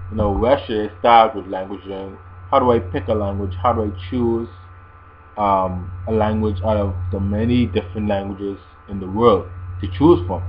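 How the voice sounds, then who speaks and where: A man giving casual, explanatory advice to viewers in a language-learning video blog, often repeating his phrases as he goes. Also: on the low side. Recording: dull lo-fi sound with mains hum.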